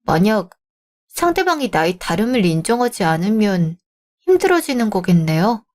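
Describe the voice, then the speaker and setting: A woman voicing a young bride-to-be in a radio drama, polite and measured but firm. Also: mid-range voice, sing-song, a little mellow, natural voice.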